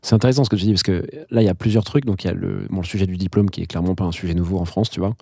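A man hosting a podcast interview, asking questions in a quick, casual way and reacting with short interjections. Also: deep voice, steady tone, creaky, darkish tone, husky, no echo, clean studio sound. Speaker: podcast host.

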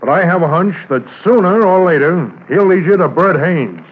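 An actor playing a courteous, businesslike police sergeant with a measured, official manner in a 1950s radio drama. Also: male voice, high voice, lively intonation. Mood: commanding. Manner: measured, gently steering, official. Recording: tinny, noisy 1950s radio transcription.

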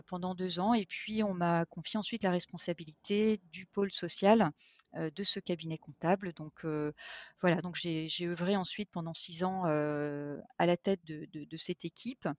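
A woman's voice: fairly low pitch, steady tone, normal speed, darkish tone, no room sound, dull audio. A woman in her forties from eastern France, a podcast guest telling her career story with many fillers and restarts. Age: 40s. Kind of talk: interview conversation.

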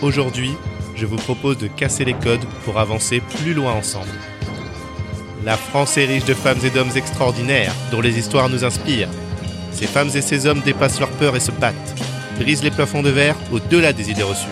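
A man's voice: medium pitch, moderate pace, loud music behind the voice. A man hosting an interview podcast, reading a scripted opening and then asking short, affirming follow-up questions. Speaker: podcast host.

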